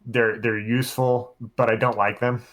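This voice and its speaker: A man's voice: mid-range voice, level tone, normal speed, a bit bright. A man co-hosting a podcast, chatting casually and giving his opinions plainly in short phrases. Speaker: podcast co-host and game master. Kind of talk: casual roundtable chat.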